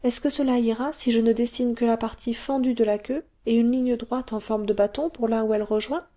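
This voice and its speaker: Eager little cave girl, chatty and pleading, mellow tone, woman putting her on. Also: a little high, steady tone, fairly quick, voice pushed up, natural voice. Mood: explaining. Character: excited cave girl, bossy and curious.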